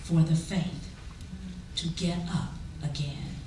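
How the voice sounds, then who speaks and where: Woman reciting poetry in an echoing hall. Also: low-pitched. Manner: expressive, deliberate, with drawn-out pauses. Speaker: poetry reader at a church performance. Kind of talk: dramatic spoken-word poetry recitation. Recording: echoey hall, distant mic, faint noise.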